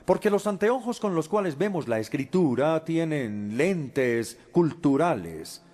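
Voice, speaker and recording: A man giving a lecture as a Spanish voice-over, measured, with frequent pauses and hesitations. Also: fairly high pitch, sing-song, darkish tone.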